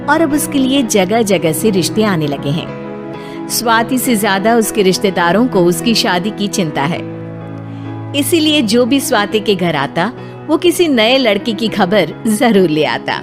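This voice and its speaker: A woman's voice: medium pitch, big pitch swings, measured pace. A woman narrator reading a scripted story aloud. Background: music.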